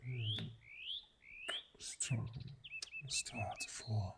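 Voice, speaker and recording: A man playing a clingy, tender boyfriend in an ASMR roleplay, speaking softly and intimately, often in a breathy whisper with lingering murmurs. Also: on the low side, huge pitch swings, extremely slow, exaggerated voice. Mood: contented, cuddly. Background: birdsong and outdoor ambience.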